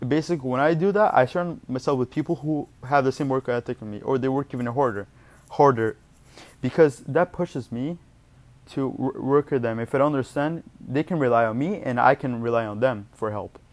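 A young man whose first language is Portuguese, giving study tips in a casual, rambling vlog and often correcting himself mid-word. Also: medium pitch. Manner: rambling, self-correcting, chatty. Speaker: student vlogger.

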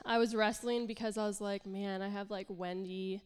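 A young woman preacher with a congested, nasal tone speaks candidly and self-deprecatingly, full of casual fillers. Her voice is smooth and clear despite the stuffiness. It carries a long reverb from a church hall. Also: mid-range voice, fairly even intonation, normal speed. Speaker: pastor and worship leader. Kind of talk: informal sermon.

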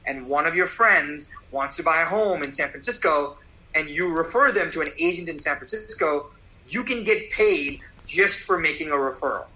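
A man's voice: fairly high pitch, animated, moderate pace, bright, forceful tone, low-quality recording. A man, a company CEO appearing as a guest, answering interview questions in an emphatic, persuasive way and repeating phrases for effect.

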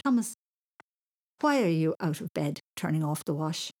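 A woman's voice: deep voice, big pitch swings, moderate pace, a little mellow, no room sound, studio quality, full character voice. A woman voicing a kind, teasing older girl in a children's story, speaking in an affectionate, playful way. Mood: reassuring. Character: kind, teasing older girl looking after little boy.

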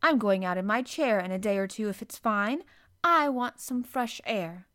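A woman's voice: high-pitched, very animated, moderate pace, gravelly, darkish tone, voice pushed up, exaggerated voice. A woman voicing an imperious, sickly young boy in a storybook, with grand, commanding announcements. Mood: haughty, assured.

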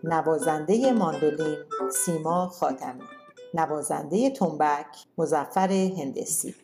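A woman's voice: low voice, warm tone, soft background music. A woman reading scripted credits as the announcer of a radio play.

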